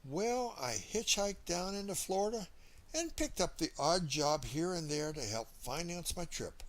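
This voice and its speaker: Male audiobook narrator, highly expressive swinging intonation, slightly high voice, first-person memoir storytelling. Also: unhurried.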